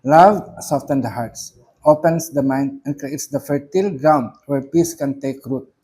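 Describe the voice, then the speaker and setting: A middle-aged man from the Philippines with a Filipino accent, a reverend reading a spiritual reflection aloud, slowly and with emphasis on key words. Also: mid-range voice, warm tone, slightly rough. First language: not English. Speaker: reverend giving commentary.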